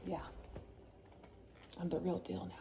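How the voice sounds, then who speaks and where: A woman's voice: fairly low pitch, big pitch swings, unhurried, vocal fry, noisy background. A woman reads a picture book to her children, theatrical and suspenseful, with chatty asides. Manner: theatrical, suspenseful, often drawing out words. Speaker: mother reading a picture book to her sons. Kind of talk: dramatic storybook read-aloud with chatty asides.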